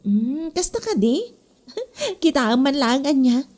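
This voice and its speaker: A woman's voice: sits high, sing-song, slow, a little mellow, heavily acted. A woman playing a chatty, friendly office worker in a comedy drama, with a Filipino Ilocano accent. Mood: curious, friendly.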